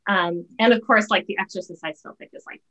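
Female host, middle-aged, average pitch, informal interview chat with halting, emphatic delivery. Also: fairly even intonation, fairly bright tone, dull audio. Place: indoor home room, on a video call. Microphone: video call.

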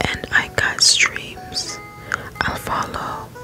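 A woman whispering the slow, drawn-out verses of a folksy male frog boatman from a video game, ASMR style. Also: over music. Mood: wistful, easygoing. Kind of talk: ASMR read-out of game dialogue.

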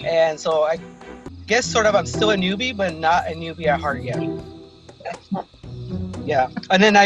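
A man from California introducing himself as a contestant over a video call, polite and hesitant. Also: on the high side. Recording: dull lo-fi call audio.

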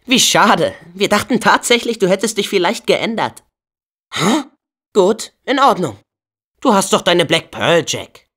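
Casting: plucky, upbeat teen boy game hero, earnest delivery, very lively pitch, unhurried pace. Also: slightly rough, heavily acted. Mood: wry. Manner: earnest, spirited, casual youthful phrasing. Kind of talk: video game voice acting, German dub.

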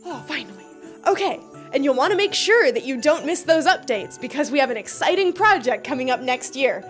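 Animated young woman hosting, high voice. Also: a little fast, soft background music. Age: young adult. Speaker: host interviewing colleagues. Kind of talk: light scripted promotional interview. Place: rooms of a house and a barn. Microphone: boom mic held near.

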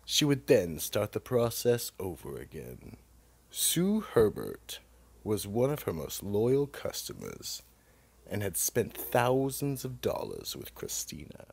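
A man with a gravelly, husky voice narrating a scripted mystery radio drama. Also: on the low side, wildly swooping pitch, slow pace.

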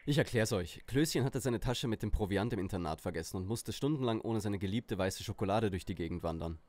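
A man's voice: fairly low pitch, a little fast, a little mellow, lightly acted. A man playing a cocky, mocking teenage detective in a parody audio drama, with a dry, smug delivery.

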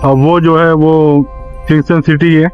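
A man's voice: fairly high pitch, little pitch movement, normal speed, lo-fi sound, faint music behind. A man narrating a casual travel vlog as he boards a tour boat, pointing out the sights to his viewers. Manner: chatty, pointing things out to viewers. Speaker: travel vlogger. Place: outdoors at a harbor and on a tour boat deck.

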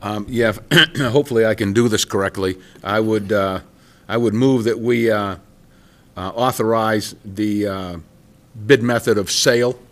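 A man serving as a town councilor, making a motion in a hesitant, halting way with many fillers. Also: fairly low pitch, fairly bright tone.

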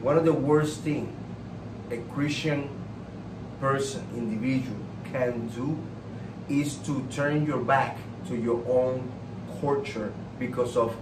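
A man's voice: mid-range voice, steady tone, slow. A middle-aged man with a Puerto Rican accent, speaking conversationally and emphatically, often repeating phrases to make his point. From Puerto Rico. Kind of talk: conversational, impassioned opinion. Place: indoor room hung with paintings. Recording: echoey room, distant mic, noisy background.

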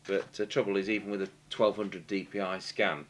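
Low-voiced British man over humming noise. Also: level tone. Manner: halting, matter-of-fact, thinking aloud. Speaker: radio repair technician demonstrating. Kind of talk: unscripted technical demonstration commentary. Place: indoor workshop at a bench. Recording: clear background noise and hum.

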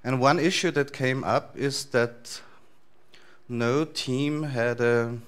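A man in his thirties with an Austrian accent, giving a relaxed, loosely structured talk to a conference audience in a large lecture hall. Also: mid-range voice, slow, clean tone. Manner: relaxed, thinking aloud with frequent fillers and restarts. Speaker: conference speaker.

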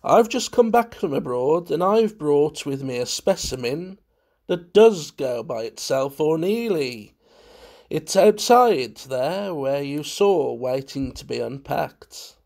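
A man voicing a grim, secretive collector in a storybook, speaking in a measured, hard, coldly courteous way. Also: high-pitched, big pitch swings, gravelly, a little mellow, pitched above the performer's own voice, full character voice. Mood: guarded, sly. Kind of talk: dramatised storybook reading.

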